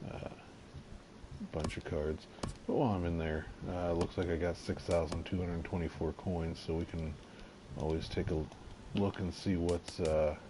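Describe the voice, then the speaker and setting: A man casually commenting on his gameplay, slightly nasal from allergies, halting with lots of fillers. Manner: halting, many fillers, unhurried and low-key. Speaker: gaming video creator. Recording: audible background noise.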